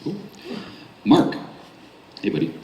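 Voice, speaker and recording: A man's voice: slow, dark tone, muffled recording. A man moderating a fan convention panel, asking questions in a casual, informal way with some hesitation. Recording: echoey convention room, distant mic, faint noise.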